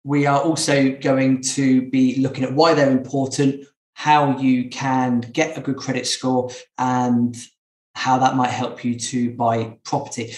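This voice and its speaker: A male podcast host with a mid-pitched voice speaks casually, steering an interview in a conversational way. The recording is noticeably echoey, with the room audible around his words. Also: flat delivery, unhurried, a little mellow. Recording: echoey, roomy sound.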